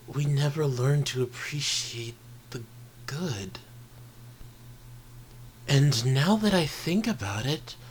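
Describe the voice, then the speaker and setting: A man playing an earnest, vulnerable high-school boy in an intimate ASMR roleplay, speaking haltingly and sincerely. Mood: wistful, hopeful. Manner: halting, hesitant, sincere, often trailing into breathy murmurs. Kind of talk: ASMR roleplay audio drama, intimate confession. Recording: noisy home recording with ambience.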